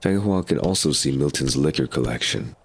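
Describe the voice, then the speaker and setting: Very deep-voiced male narrator. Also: expressive, a little fast, slightly rough. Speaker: narrator of an audio drama. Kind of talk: scripted narration.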